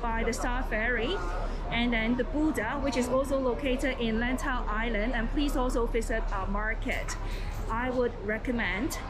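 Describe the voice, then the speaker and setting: A woman's voice: on the high side, fairly even intonation, normal speed, over music. A woman from Hong Kong with a Hong Kong accent, Cantonese native, guiding viewers on a walking tour in a friendly, informative way, recorded outdoors on a handheld camera. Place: outdoors on busy streets and in malls. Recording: loud street noise and traffic.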